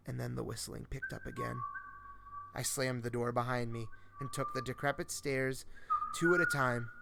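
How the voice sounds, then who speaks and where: Male horror storyteller, mid-pitched with heavy fry, dramatic and measured first-person read, slight background noise. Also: fairly bright tone. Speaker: host reading horror stories. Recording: noticeable faint background noise.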